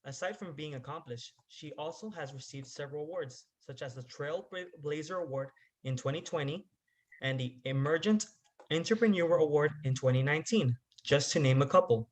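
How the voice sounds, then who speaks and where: A man reads a formal, prepared introduction of a guest speaker, his voice sitting slightly high for a man. He delivers it at a steady, measured pace. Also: rough recording. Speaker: event host introducing the speaker. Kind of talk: read introduction.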